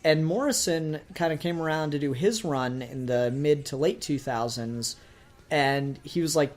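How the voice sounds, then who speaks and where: A man's voice: a little high, unhurried. A man talking casually as a podcast host, explaining his opinions in an earnest, enthusiastic way. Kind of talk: casual review discussion.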